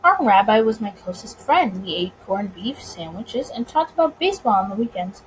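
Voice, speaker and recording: A woman's voice: medium pitch, big pitch swings, normal speed, a little hiss or hum, close to an everyday voice, rough recording. A woman voicing a suave, smug male bootlegger in a visual novel, courteous, wordy and self-assured. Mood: reflective. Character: suave, smug, teasing male gangster bootlegger of 1920s America.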